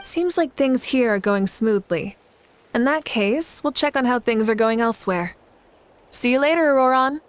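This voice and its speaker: A woman's voice: on the high side, lively intonation, moderate pace, noisy background, natural voice. An actress playing a composed, confident young female leader in a video game, poised and wryly amused. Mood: friendly. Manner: poised, wry.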